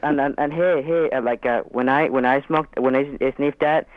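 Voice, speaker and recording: An eighteen-year-old man from Mexico with a Mexican accent, calling a radio advice show and stammering through halting, restarting sentences. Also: mid-range voice, fairly even intonation. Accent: Mexican Spanish. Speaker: caller. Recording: tinny phone line.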